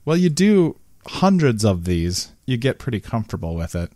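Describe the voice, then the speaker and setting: A man's voice: mid-range voice, sing-song, moderate pace, warm tone, dry recording, studio quality. A man co-hosting a podcast, chatting casually and laughing as he rambles through his thoughts. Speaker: podcast co-host. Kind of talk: casual chat. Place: home basement.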